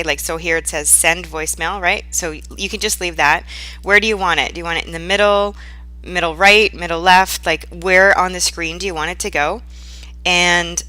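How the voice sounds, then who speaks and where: A woman presenting a software tutorial in an informal, conversational way, checking in with the listener as she guides them step by step. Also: on the low side, no echo. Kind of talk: casual screen-share software tutorial.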